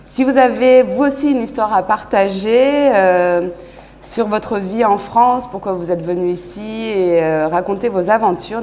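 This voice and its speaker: A young woman presenting a student TV show and interviewing a guest, speaking in a relaxed, friendly way with frequent hesitations. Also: medium pitch, a little hiss or hum. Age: young adult. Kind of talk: interview and live studio presentation.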